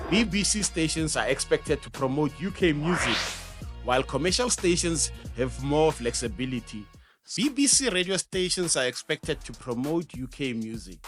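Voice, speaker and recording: A man's voice: a little high, a bit bright. A South African man hosting an educational show, reading a scripted explainer in an emphatic presenter's manner with conversational asides. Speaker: host of an educational show on radio broadcasting.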